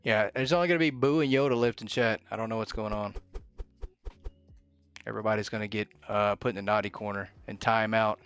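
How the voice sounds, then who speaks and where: A man's voice: lowish voice, steady tone, brisk, a bit bright, clear, resonant tone, muffled recording, soft background music. A man giving live gameplay commentary as a streamer, thinking aloud and muttering to himself as he plays. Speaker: game streamer.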